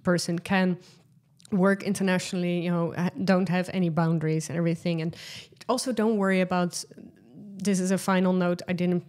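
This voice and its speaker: Chatty Dutch-accented woman, lowish voice. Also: fairly even intonation, brisk, darkish tone. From Netherlands. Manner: chatty, many fillers and restarts. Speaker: podcast host. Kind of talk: solo podcast monologue.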